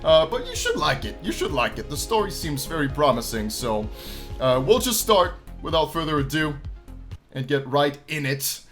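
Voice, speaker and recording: Animated higher-voiced man commentating a game. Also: normal speed, soft background music. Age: 20s. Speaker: gaming channel host. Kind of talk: game playthrough commentary, reading story text aloud.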